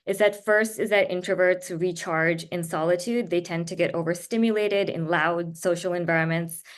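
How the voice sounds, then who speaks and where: A woman from Japan speaking as a guest on a video call, explaining things in a thoughtful, measured way. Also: lowish voice, steady tone. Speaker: guest speaker, writer and editor. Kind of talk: explanatory talk in an online interview.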